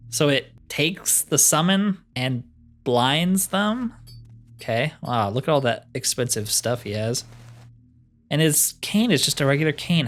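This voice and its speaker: A man giving casual, chatty gameplay commentary as a video host, thinking aloud in an easygoing way. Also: mid-range voice.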